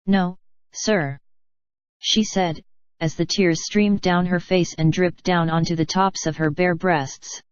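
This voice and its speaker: A fairly low synthetic female voice narrates a scripted story. The phrasing is stilted, with an odd cadence. The audio is very dry and slightly dull. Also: fairly even intonation, measured pace, clear, resonant tone, dry recording. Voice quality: synthetic text-to-speech voice.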